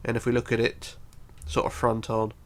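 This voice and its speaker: A man's voice: mid-range voice, monotone, normal speed. A man with a bunged-up, congested voice giving a casual step-by-step building tutorial, counting aloud methodically. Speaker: tutorial host.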